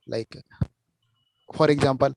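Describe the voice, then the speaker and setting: Indian-accented male evaluator, mid-pitched, halting and repetitive delivery, faint noise and clicks on an online call. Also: steady tone, creaky. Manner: halting, searching for words, repeats himself. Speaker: speech evaluator at a public speaking club. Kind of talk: spoken feedback, unscripted.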